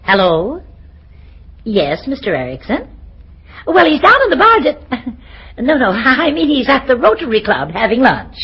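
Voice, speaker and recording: An actress playing a sensible, wry middle-aged aunt in old-time radio comedy style. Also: female voice, high voice, wildly swooping pitch, a little slow, long pauses, a bit bright, slightly rough, exaggerated voice. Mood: flustered politeness.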